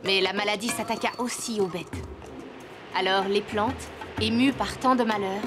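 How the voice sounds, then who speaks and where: A woman voicing a gentle, caring mother and herbal healer in a children's cartoon, with careful, clear phrasing. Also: mid-range voice, steady tone, moderate pace, music in the background, lightly acted. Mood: storytelling. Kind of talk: French-dubbed children's cartoon acting.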